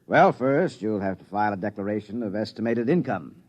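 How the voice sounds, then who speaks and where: Droll, swooping lawyer on old radio. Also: male voice, on the low side, lively intonation, a little mellow. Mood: wry, matter-of-fact. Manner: drawn-out, wry, understated. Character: droll, unhurried lawyer, cheerfully blunt old pal. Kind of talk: 1950s radio comedy-drama acting. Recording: dull, band-limited vintage radio recording.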